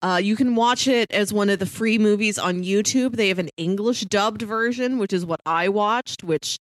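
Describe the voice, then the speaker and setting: Female podcast co-host, mid-range pitch, dry studio-like sound. Casual, conversational film discussion. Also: normal speed, dry recording, poor audio.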